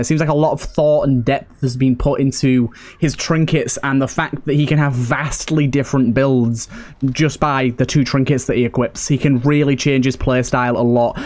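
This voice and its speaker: A man's voice: medium pitch, darkish tone. A man giving casual, chatty let's play commentary, thinking aloud as he hosts. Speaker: gaming host.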